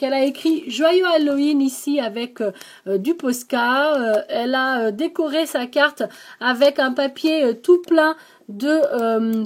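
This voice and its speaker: A woman with a high-pitched voice and an accent, hosting her own craft channel. She talks in a relaxed, chatty way, filling her sentences with little hesitations as she shows off the crafts she was sent. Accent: non-native French accent. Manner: chatty, lots of hesitations and "donc". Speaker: scrapbooking channel host. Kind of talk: casual show-and-tell of craft mail.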